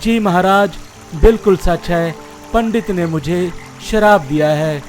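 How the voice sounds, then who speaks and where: A man's voice: high-pitched, slow. An actor voicing a sly old male snake in a cartoon moral story, speaking in a deferential, wheedling manner. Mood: humble, obliging. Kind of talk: animated Hindi moral story dialogue. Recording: music behind the voice.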